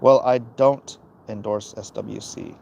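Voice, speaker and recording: A man with a gravelly voice hosting a casual, opinionated livestream, answering chat in a blunt, dismissive way and muttering between thoughts. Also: fairly low pitch, fairly even intonation, takes it slowly, darkish tone, slight background noise. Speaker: livestream host reading and answering chat comments.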